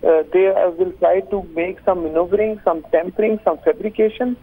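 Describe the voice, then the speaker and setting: A thin phone line carries a man's high-pitched voice with a Pakistani accent. He talks like a lawyer in an interview, formal and legalistic, halting yet insistent. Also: steady tone.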